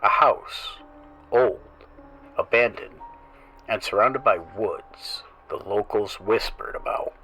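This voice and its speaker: A man hosting a horror story show, reading scripted tales in a slow, theatrical voice that often drops into hushed whispers. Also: lowish voice, breathy edge, faint music behind, low-quality recording. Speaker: horror show host and narrator.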